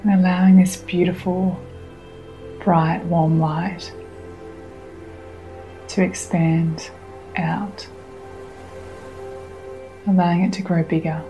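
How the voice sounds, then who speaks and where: Low, even-toned woman guiding meditation over music. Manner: soothing, unhurried, gently instructing with long pauses. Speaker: meditation guide. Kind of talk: guided meditation. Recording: music under the voice.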